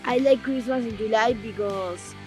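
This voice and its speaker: A woman's voice: on the high side, normal speed, a little mellow, low-quality recording. A woman from Buenos Aires with an Argentine accent, a polo player speaking casually in an interview. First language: Spanish. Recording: music behind the voice.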